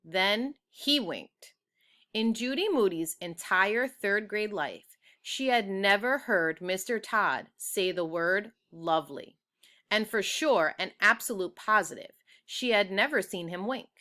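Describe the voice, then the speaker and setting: Bright-voiced woman reading a storybook. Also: mid-range voice, animated, slow. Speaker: storybook reader. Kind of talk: children's book read aloud.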